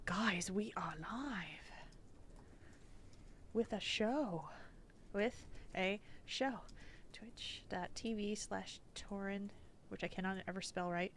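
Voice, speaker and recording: A woman co-hosting a podcast in casual chat, giggly and animated, often slipping into playful silly voices. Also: mid-range voice, takes it slowly, long pauses, a little hiss or hum. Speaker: podcast co-host.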